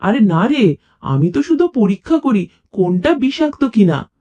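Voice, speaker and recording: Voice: medium pitch, very animated, unhurried, warm tone, lo-fi sound. A storyteller voicing a playful, sly grandfather in a children's tale. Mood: teasing, sly.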